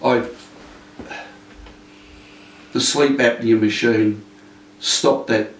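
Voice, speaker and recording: Older man, flat and rambling. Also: mid-range voice, drawn-out words, slight background noise. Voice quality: loud voice. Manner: rambling, many fillers and restarts. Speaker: interviewee. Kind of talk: casual interview. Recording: echoey room, mic at a distance.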